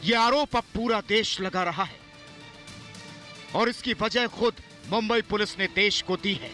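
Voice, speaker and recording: A man's voice: high voice, measured pace, pushed and bright. A man reading a scripted news report in an emphatic, accusatory way. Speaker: news narrator. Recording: news voice-over with music bed. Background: music.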